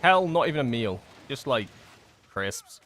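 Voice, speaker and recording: A young man giving casual livestream commentary while gaming, chatty and self-deprecating with excitable outbursts, his voice going hoarse. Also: medium pitch, unhurried. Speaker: gaming streamer.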